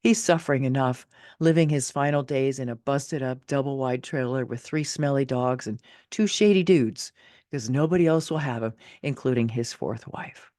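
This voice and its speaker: A woman's voice: very deep voice, moderate pace, warm tone, no echo, clean studio sound. A middle-aged woman reading her own personal essay in an emphatic, theatrical, motivational way. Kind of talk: expressive scripted narration of a personal essay.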